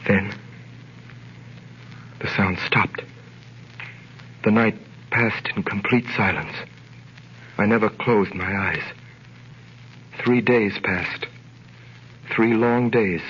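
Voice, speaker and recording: Earnest prisoner, muffled 1930s radio. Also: male voice, lowish voice, a little hiss or hum. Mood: grave, suspenseful. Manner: grave, dramatic, deliberate pauses. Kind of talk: 1930s radio drama acting, first-person narration. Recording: muffled vintage 1930s radio recording with music.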